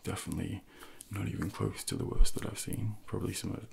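A man in his thirties speaking softly and gently in an ASMR personal trainer role-play, close to the microphone with unhurried, hesitant pauses. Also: steady tone. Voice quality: soft, breathy, gravelly low voice.

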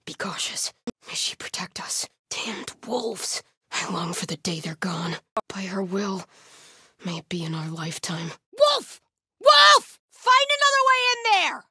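A woman's voice: medium pitch, big pitch swings, measured pace, husky, dry recording, clean studio sound, full character voice. An actress playing a grim, devout female cult soldier in a video game, with a stern, zealous manner. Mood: urgent, shouting. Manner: solemn, stern, swinging from hushed muttering to shouted alarms. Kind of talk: video game combat voice lines.

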